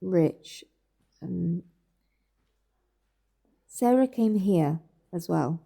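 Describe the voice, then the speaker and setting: British woman, anxious and insistent, warm dark-edged voice, naturalistic radio play. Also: on the low side, animated, drawn-out words, gravelly, heavily acted. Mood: concerned, probing. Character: anxious, insistent woman questioning her relatives in hospital. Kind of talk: naturalistic audio drama acting.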